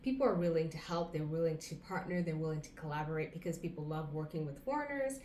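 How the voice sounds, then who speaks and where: A woman's voice: deep voice, expressive, fast, a little mellow. An American woman in her thirties, talking casually to camera and giving earnest advice, listing things off one by one. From United States. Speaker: vlogger. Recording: roomy echo, faint background hum.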